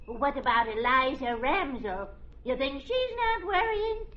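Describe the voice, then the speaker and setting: A fussy old small-town widow in a vintage radio play. Her voice is high, scolding and emphatic, full of nervous warning. The recording is muffled and old. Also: expressive, a little slow. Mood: nosy, scolding. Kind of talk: 1940s radio drama acting.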